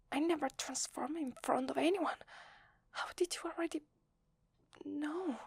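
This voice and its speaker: A woman's voice: high voice, no echo, heavily acted. A woman with an Italian accent voicing a sweet, apologetic werewolf wife in a hesitant, tender, whispered ASMR roleplay. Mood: surprised, flustered. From Italy. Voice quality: breathy, whispery. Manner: hesitant, tender, slow with long pauses.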